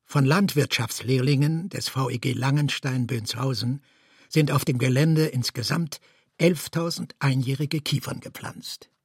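A man reads factual documentary narration in a formal manner. His mid-pitched voice has a husky grain and sounds very dry, with no room around it. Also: measured pace, no room sound. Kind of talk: scripted documentary-style narration.

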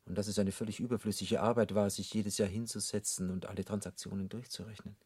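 An actor playing an urbane, ironic devil in a literary radio drama, with a husky voice and a didactic, rhetorical manner. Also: male voice, lowish voice, level tone, quick pace, darkish tone, dry recording. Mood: smugly explaining.

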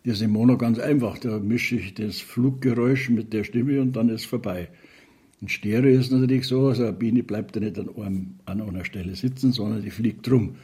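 A man's voice: fairly low pitch, brisk, mellow, slightly rough. An older man, a retired sound engineer, recalling his work in a plain conversational way. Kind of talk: interview recollection.

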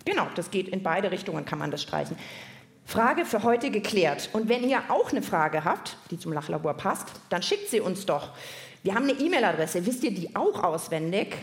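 A woman hosting a live science podcast for children, speaking in an animated way and often putting questions to her audience. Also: lowish voice, moderate pace. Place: indoor live podcast festival stage. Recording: echoey live venue, faint noise.

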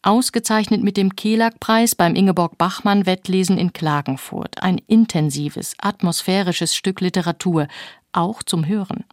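A woman's voice: lowish voice, moderate pace, no echo, clean studio sound. A woman hosting a radio book show, presenting from a script. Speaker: radio host.

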